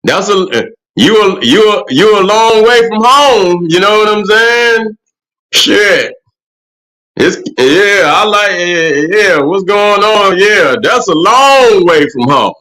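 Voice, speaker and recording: High bright-voiced profane livestream host. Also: male voice, lively intonation, takes it slowly, rough recording. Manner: profane, rambling, repeats "you know what I'm saying". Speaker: livestream host answering chat. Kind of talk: casual livestream commentary.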